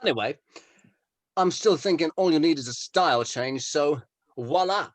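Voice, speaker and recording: Man acting as needling male band member, slightly high voice, dry close sound, teasing, wry, drama read-through. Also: animated, normal speed, no room sound. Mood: wry, mothering. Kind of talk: script table read.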